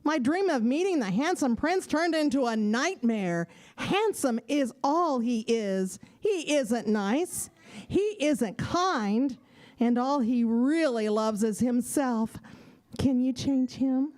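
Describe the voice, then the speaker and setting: A woman's voice: sits high, lively intonation, slow pace, darkish tone. A woman playing a sweet, wishful young fairy-tale heroine in a children's audio play, earnest and pleading. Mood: woeful. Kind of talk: children's audio theater acting.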